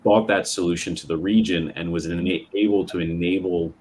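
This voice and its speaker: Brief: man from Bermuda, very low voice, measured panel talk, faint background hiss. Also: fairly even intonation, a little mellow. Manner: measured, with frequent fillers and restarts. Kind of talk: panel discussion.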